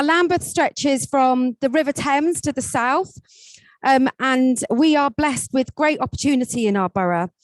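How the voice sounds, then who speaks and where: A woman's voice: high voice, no echo, poor audio. A woman from England, a council leader, opening and moderating a policy panel with a formal welcome and frequent ums. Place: conference hall. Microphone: table microphone.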